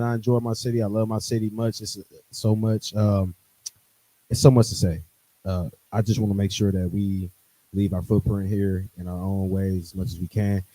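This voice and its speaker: A man with a fairly low voice introduces himself on a podcast. His talk is relaxed and easygoing, like a radio regular chatting with friends. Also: rough recording. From Milwaukee, USA. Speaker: podcast guest, radio host. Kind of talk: casual chat.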